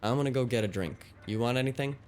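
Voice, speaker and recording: A man's voice: medium pitch, quick pace, darkish tone, lightly acted. A man playing a blunt, wry, grumbling companion in a cyberpunk noir audio drama.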